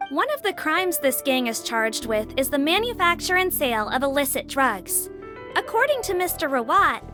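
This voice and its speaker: A high, animated voice plays a prim little investigator in a video game. She explains carefully and helpfully, with a cutesy touch and a lilting melody. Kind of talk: scripted video game voice acting.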